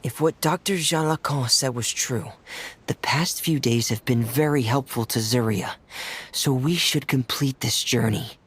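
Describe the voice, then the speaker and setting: Game lead: thoughtful young man, slow and deliberate, very husky and breathy. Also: mid-range voice. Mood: focused resolve. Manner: calm, deliberate. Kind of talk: video game voice acting.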